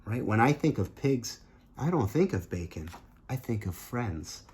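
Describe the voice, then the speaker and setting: A man's voice: medium pitch, big pitch swings, measured pace, darkish tone. A man reading a picture book aloud as a teacher, earnest and emphatic, with explanatory asides to young listeners. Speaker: teacher and host of a children's read-aloud show.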